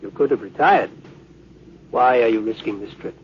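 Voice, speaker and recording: A man's voice: mid-range voice, moderate pace, lightly acted. A man playing a wry, worried middle-aged ship's doctor in a vintage radio drama. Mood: gloomy, wry. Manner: wry, questioning. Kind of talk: 1950s radio drama acting. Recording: muffled, faintly noisy old radio recording.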